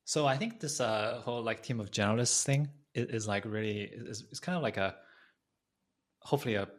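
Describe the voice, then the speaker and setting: A man hosting a casual interview, putting loose, informal questions in a halting, chatty way. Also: medium pitch. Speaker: podcast host and interviewer. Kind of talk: casual chat.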